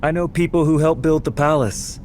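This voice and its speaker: An actor playing a cunning, confident mastermind in a historical Chinese game drama, talking smoothly and persuasively. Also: male voice, fairly high pitch, moderate pace, a little mellow, a little hiss or hum, close to an everyday voice. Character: cunning, persuasive man masterminding a palace heist.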